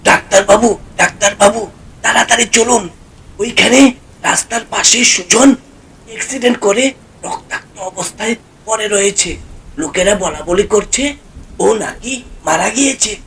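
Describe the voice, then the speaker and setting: A man's voice: sits high, normal speed, vocal fry, pauses often, a bit bright, voice pushed up. A man voicing an agitated villager in a cartoon horror story, calling out with breathless urgency. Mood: urgent, alarmed. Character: agitated male villager bringing alarming news.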